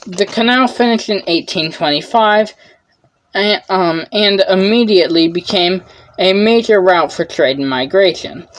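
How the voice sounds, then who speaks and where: A woman's voice: lowish voice, low-quality recording. A young woman presenting a history lesson from a script, stumbling and restarting now and then as her voice wears out. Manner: reads carefully with frequent stumbles and restarts. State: losing her voice.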